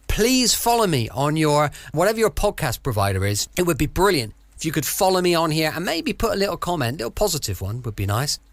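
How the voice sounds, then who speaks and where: A male podcast host with a fairly high voice. He chats informally with very lively intonation and friendly asides. The sound is close and dry. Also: dry recording. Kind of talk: chatty late-night podcast introduction. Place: at home, close dry recording.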